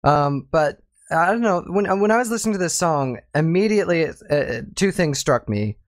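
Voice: medium pitch, lively intonation, moderate pace, no echo. A podcast host who rambles loosely, laughs a lot and keeps the conversation going. The voice is very dry and close, with no room sound at all. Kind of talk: casual podcast chat.

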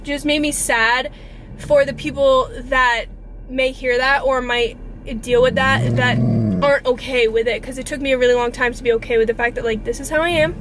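A woman's voice: sits high, fairly even intonation, normal speed. A woman in her twenties chatting casually and earnestly to camera as a vlogger, informal and mildly profane, recorded inside a car. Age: 20s. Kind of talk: casual confessional chat to camera. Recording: car interior with clear background noise.

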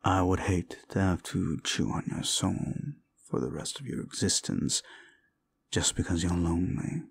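A man's voice: sits low, fairly even intonation, gravelly, a little hiss or hum. A man voicing a condescending, seductive demon deal-maker in an audio roleplay, speaking in a theatrical, mocking drawl. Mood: teasing, seductive. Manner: slow, condescending, theatrical drawl with amused chuckles. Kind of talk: scripted audio roleplay addressed to the listener.

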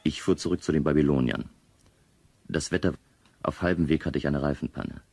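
A man's voice: rumbling low, fairly even intonation, fairly quick, darkish tone, heavily acted. A man acting in a German radio play as a determined project leader who also narrates his venture. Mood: reflective. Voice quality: gravelly, husky. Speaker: narrator and lead character.

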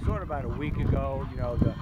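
A middle-aged man, a head coach, answering postgame questions outdoors at a ballfield in a halting way, repeating words and using many fillers. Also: medium pitch, steady tone. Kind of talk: postgame interview. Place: outdoors at a softball field. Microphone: close handheld camera. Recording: dull sound with loud outdoor noise.

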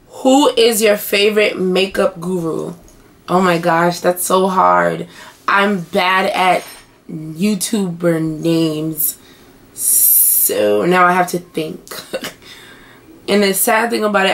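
A young woman vlogging in a small bedroom, hoarse and chatty as she answers tag questions to her webcam. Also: on the low side, slow. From United States. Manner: chatty, animated hand gestures. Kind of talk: casual chat.

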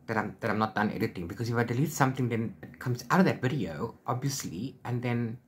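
A middle-aged man chatting casually as he recaps a book, rambling along in an animated retelling. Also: medium pitch, quick pace. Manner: rambling, animated retelling with filler sing-song syllables. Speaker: book reviewer vlogger. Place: small book-lined room at home.

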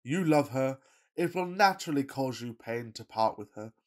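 A man's voice: mid-range voice, expressive, normal speed, lightly acted. Guy doing a posh-acting pompous rich young gent, melodramatic, voice all over the place, radio farce. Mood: pompous, persuasive. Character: pompous, self-regarding, lovestruck rich young gentleman. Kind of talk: farcical radio play acting.

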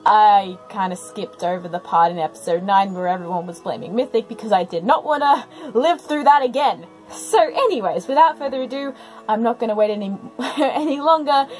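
A woman hosting a reaction video, giving casual commentary with expressive, exclamatory asides. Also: medium pitch, fairly quick, faint music behind, rough recording.

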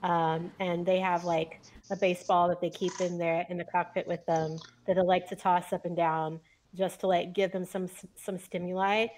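A woman's voice: fairly low pitch, flat delivery, normal speed, a little mellow, a little hiss or hum. Casual woman player, hesitant and loose. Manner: hesitant, peppered with "like". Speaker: player in a roleplay game. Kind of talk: casual improvised character description.

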